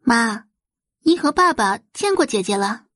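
A woman performs a young heiress in an audio drama. Her voice sits high and lilts gently, all polite consideration over quiet condescension. The sound is dry. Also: lively intonation, unhurried, no room sound. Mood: soothing, coaxing. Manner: soft, gentle, considerate phrasing. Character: gentle-seeming young heiress, sweetly hypocritical and secretly scornful.

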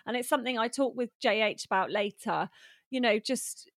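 A woman's voice: fairly high pitch, moderate pace, dry recording, studio quality. A woman co-hosting a podcast in casual chat, speaking in a friendly way and often ending with tag questions. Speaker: podcast co-host.

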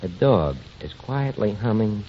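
A man's voice: fairly low pitch, expressive, measured pace. An actor playing a scheming tavern manager in a 1940s radio comedy, with ungrammatical, wheedling salesman's patter. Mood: grandly pitching. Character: scheming, fast-talking tavern manager, small-time promoter with grand ideas. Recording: muffled, noisy 1940s radio recording.

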